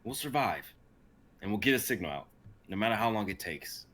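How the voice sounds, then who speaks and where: An earnest young crash survivor, read by a man in an amateur sci-fi drama. The voice sits fairly low with a bright, forceful edge, protective and determined. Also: sing-song, a little fast, slight background noise. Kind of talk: amateur dramatic table reading.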